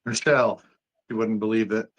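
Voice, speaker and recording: A man's voice: lowish voice, level tone, fairly quick, highs cut off, close to an everyday voice. A man playing an absent-minded doctor who mutters to himself in a drama table read. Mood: casual.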